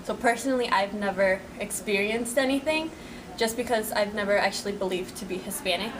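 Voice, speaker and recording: A young woman talks casually and plainly about her experiences, as an interviewee. She is recorded from some distance, with clear background noise. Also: medium pitch, brisk. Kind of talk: casual testimony.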